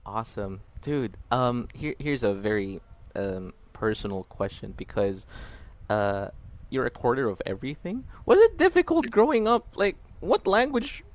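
A man's voice: mid-range voice, big pitch swings, moderate pace. A man hosting a casual podcast chat, laughing often and slipping into playful quoted asides. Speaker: podcast host.

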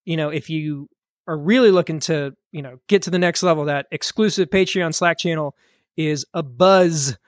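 Chatty man with a raised pitch. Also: normal speed, dry recording, studio quality. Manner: relaxed, rambling with many fillers. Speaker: podcast co-host. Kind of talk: casual podcast chat. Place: home studio during a live stream.

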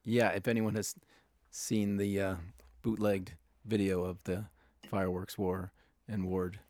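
A man with a stutter hosts a casual music interview. His voice sits very low with a gravelly fry, and the sound is dark and mellow. The recording is dry and close. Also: flat delivery, unhurried, dry recording. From Colorado. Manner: speaks with a stutter. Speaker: podcast host and music writer.

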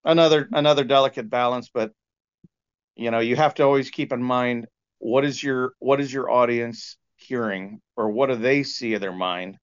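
A man being interviewed as a sound engineer, explaining in a conversational, halting way with lots of "you know". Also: mid-range voice, fairly even intonation, clean tone. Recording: dull, lo-fi band-limited sound.

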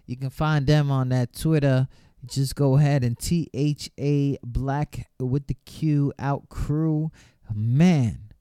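High-voiced man hyping a radio show. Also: dark tone, clean tone, dry recording, clean studio sound. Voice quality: high-pitched voice. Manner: slangy, repetitive catchphrases. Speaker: online radio DJ host. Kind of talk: hype radio shout-outs over music. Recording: music playing under the voice. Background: music.

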